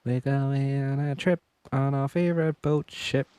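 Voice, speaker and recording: A woman streaming a game playthrough, reacting casually and softly to the dialogue. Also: a bass voice, fairly even intonation, unhurried, clean tone, no room sound. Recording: faint game music behind the voice.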